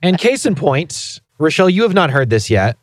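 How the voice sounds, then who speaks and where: A man's voice: a little high, sing-song, normal speed, no room sound, studio quality. A man in his thirties co-hosting a casual podcast, telling a story in a playfully indignant way. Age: 30s. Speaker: podcast co-host.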